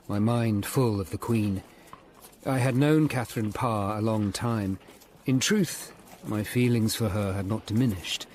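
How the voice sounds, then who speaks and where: An English man in his forties playing a thoughtful, melancholy Tudor lawyer who narrates a radio drama in a measured, literate way. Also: fairly low pitch, a little mellow, a little hiss or hum, natural voice. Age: 40s. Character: melancholy middle-aged hunchbacked Tudor lawyer, humane and thoughtful. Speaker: narrator and lead actor.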